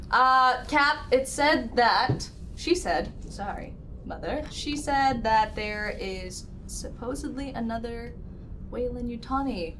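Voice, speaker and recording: A woman's voice: a little high, takes it slowly, fairly bright tone, a little hiss or hum. A woman playing a tabletop roleplaying game, chatting casually in and out of character. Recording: prominent music behind the voice. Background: music.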